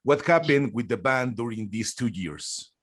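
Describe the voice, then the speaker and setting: Male interviewer, non-native English speaker, halting delivery with many ums. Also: mid-range voice, animated. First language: Spanish. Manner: hesitant, many filler sounds. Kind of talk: interview questions on a video call.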